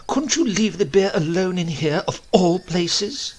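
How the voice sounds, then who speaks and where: A man's voice: high voice, lively intonation, unhurried, husky, voice pushed up, heavily acted. A man voicing an angry, prim, skinny woman who scolds indignantly in a storybook reading. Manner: indignant, haranguing. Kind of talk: storybook character voice.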